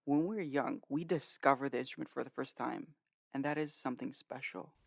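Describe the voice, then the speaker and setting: A woman hosting a podcast interview, asking questions in a measured way. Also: extremely low pitch, vocal fry, no echo, clean studio sound. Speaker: podcast host.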